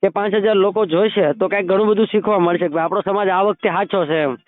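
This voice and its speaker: A man's voice: high voice, fairly even intonation, fast. A man chatting casually on a recorded phone call, often checking that the listener agrees. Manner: repeatedly seeks agreement with tag questions. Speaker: caller.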